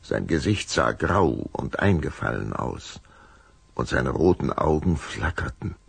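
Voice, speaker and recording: A man narrating a scripted children's story in a deep, gravelly, husky voice, dramatic and suspenseful, close to the microphone. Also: sing-song, a little slow, no room sound.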